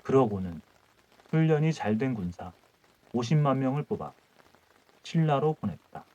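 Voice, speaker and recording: A man reads a folk tale at bedtime in calm, measured phrases. His voice sits in a middle register. The recording sounds a little dull and muffled. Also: mid-range voice. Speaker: audiobook narrator.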